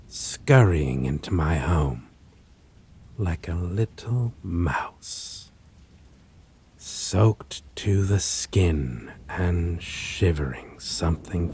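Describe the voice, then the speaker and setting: Man as a slow, low, possessive vampire. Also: warm tone, exaggerated voice. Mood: wistful, tender. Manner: formal, theatrical, ornate wording, lingering pauses. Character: possessive male vampire, menacing, wistful, aristocratic. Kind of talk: dramatic audio roleplay monologue to a listener. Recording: faint noise, rain and thunder ambience.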